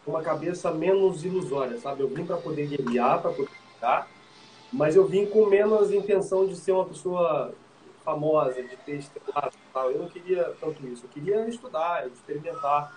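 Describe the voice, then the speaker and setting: A man's voice: fairly high pitch, a little mellow. A man from Minas Gerais hosting a live interview, asking questions in a conversational way. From Minas Gerais, Brazil. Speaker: host. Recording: echoey room with faint noise.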